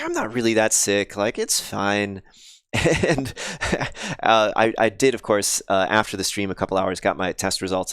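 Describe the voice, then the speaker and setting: A man with a lowish, smooth voice talks casually through his game code on a live stream, pausing often and greeting viewers. His voice is a little scratchy and sniffly. The sound is close and dry. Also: fairly bright tone, no echo. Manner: thinks aloud, many ums and restarts, chats with viewers. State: slightly scratchy voice, sniffly after illness.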